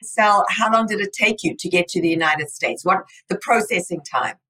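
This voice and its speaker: A woman's voice: lowish voice, moderate pace, sharp tone, slightly rough, rough recording. A woman hosting a podcast interview, upbeat and encouraging with her guest. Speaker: podcast host. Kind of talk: casual interview.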